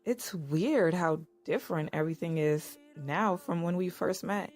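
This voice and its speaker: A woman's voice: on the low side, a little slow, darkish tone, faint music behind. An actress playing a young married woman in an audio drama, narrating and talking in a wry, conversational way. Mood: reflective. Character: Iris, weary young married woman narrating her own story. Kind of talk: first-person audio drama narration and dialogue.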